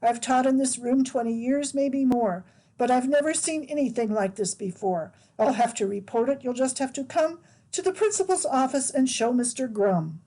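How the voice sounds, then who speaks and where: A woman's voice: high-pitched, moderate pace, mellow, rough recording. A woman reading the part of a shocked veteran schoolteacher, flustered and scolding.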